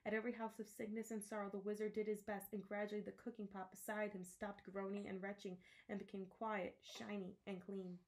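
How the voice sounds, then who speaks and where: Woman, medium pitch, expressive storybook narration, dramatic delivery. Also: a little fast, few pauses. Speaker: teacher reading aloud. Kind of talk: expressive storybook read-aloud.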